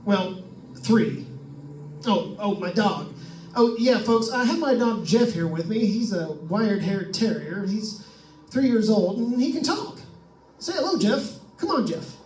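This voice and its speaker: A man's voice: high voice, animated, measured pace, a little mellow. A man playing a jokey, chatty radio host on a ghost hunt in old-time radio drama style, with folksy asides to listeners. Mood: jokey, jittery. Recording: echoey room, distant microphone.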